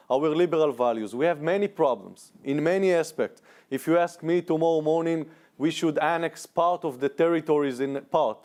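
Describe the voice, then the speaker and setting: Israeli man, higher-pitched panelist, earnest and halting, frequent restarts. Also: darkish tone. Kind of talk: panel discussion. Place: conference room.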